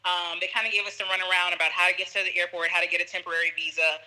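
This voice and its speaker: A woman guest telling a travel story in casual chat, animated, acting out what people said. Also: lowish voice, very fast, poor audio. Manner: animated storyteller, acts out quotes, laughs often.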